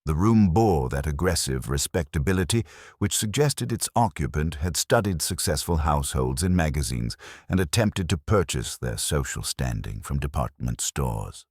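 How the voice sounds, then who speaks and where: A man narrating a scripted mystery story in a measured, formal storytelling manner. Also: a bass voice, expressive, darkish tone, husky, no room sound, studio quality.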